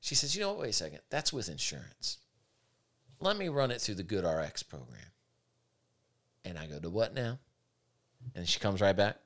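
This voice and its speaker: Guy with a raspy lowish voice, hosting a podcast, emphatic and opinionated. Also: very animated, moderate pace, long pauses, fairly bright tone. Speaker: podcast host. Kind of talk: casual podcast talk.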